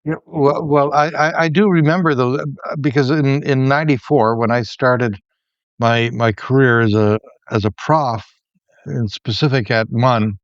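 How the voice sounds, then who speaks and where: A middle-aged Canadian man, a former professor, telling personal stories in a relaxed conversation with many restarts. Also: mid-range voice, unhurried, dry recording, muffled recording. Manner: reflective storyteller, many restarts. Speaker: podcast co-host, former professor. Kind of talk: casual conversation, storytelling.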